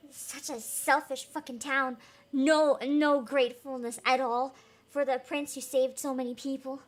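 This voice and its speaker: A woman's voice: high-pitched, steady tone, takes it slowly. A woman reading a fairy tale aloud as host, in an emotive, giggly way with casual reactions.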